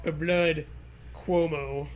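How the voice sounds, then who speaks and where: Bright high male voice, unhurried, casual joking banter, faint noise behind. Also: steady tone. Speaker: comedy co-host.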